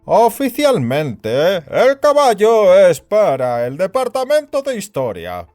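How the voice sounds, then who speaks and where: A man's voice: high voice, huge pitch swings, takes it slowly, darkish tone, soft background music, pitched above the performer's own voice, heavily acted. A man voicing an overbearing, disapproving institute director in an audio story, lecturing in an emphatic, condescending way. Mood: scornful, explaining. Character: overbearing big burly male institute director, perpetually disapproving.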